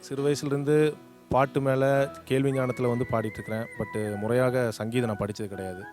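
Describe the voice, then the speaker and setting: A man from Coimbatore with a Tamil Nadu accent introduces himself informally as a singing contestant, speaking in a halting way with pauses. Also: mid-range voice, fairly even intonation. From Coimbatore, Tamil Nadu, India. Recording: music playing behind the voice.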